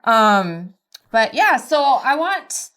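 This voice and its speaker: A woman's voice: fairly high pitch, sing-song, drawn-out words, fairly bright tone. A woman with an American accent hosting a casual chat interview in an informal, reactive, sweary way. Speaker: podcast host.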